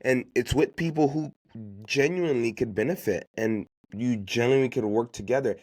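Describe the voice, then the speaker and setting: A man's voice: mid-range voice, moderate pace, darkish tone. A man who grew up speaking Haitian Creole gives unscripted motivational business advice as a podcast host, emphatically repeating key phrases and posing rhetorical questions.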